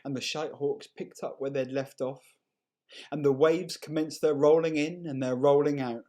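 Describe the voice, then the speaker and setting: A man reading a short story aloud in an unhurried, measured and expressive storytelling manner. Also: a little high. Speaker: story reader. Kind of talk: dramatic short story narration.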